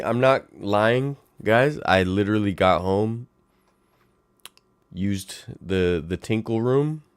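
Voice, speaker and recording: Man, raspy voice, playful livestream host, lively pitch, dry sound. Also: no room sound. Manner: animated, playful, talks to chat viewers. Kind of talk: casual livestream chat. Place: home.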